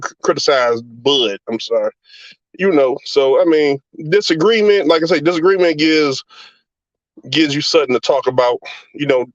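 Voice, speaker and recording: A male guest in casual chat, relaxed and rambling with lots of fillers. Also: mid-range voice, dry recording, dull audio. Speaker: guest co-host.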